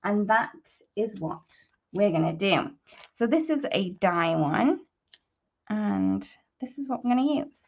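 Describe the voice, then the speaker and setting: A woman's voice: medium pitch, expressive, a little slow. Chatty woman hosting a craft demo, dull audio. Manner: chatty, informal, thinking aloud as she works. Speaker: host of a live papercrafting demonstration. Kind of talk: casual live craft tutorial, chatting to viewers.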